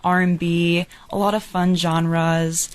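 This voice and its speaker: A woman's voice: fairly low pitch, little pitch movement. A young adult woman, a musical's director and composer, chatting upbeat in an interview with many fillers. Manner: upbeat, quick, with many "like" and "you know" fillers. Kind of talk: casual interview chat.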